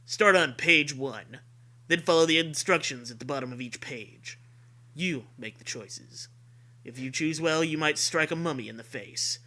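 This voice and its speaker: Forceful high-voiced man reading comic fiction theatrically. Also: moderate pace. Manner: theatrical, breaks into laughter while reading. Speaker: comedy show host. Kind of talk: comic read-aloud of parody fiction with banter.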